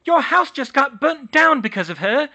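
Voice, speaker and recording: A man's voice: very high voice, big pitch swings, moderate pace, muffled recording, voice pushed up, exaggerated voice. A man reading aloud voices a scornful, shrieking elderly great-aunt in a storybook. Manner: sneering, shrieking insults.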